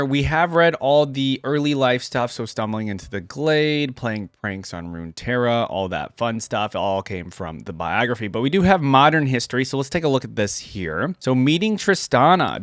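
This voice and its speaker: A man hosting a gaming reaction video, reading text aloud and adding casual, chatty commentary as he thinks out loud. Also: mid-range voice, no echo, studio quality. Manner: chatty, thinking aloud between read passages. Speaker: host of a video game lore reaction channel.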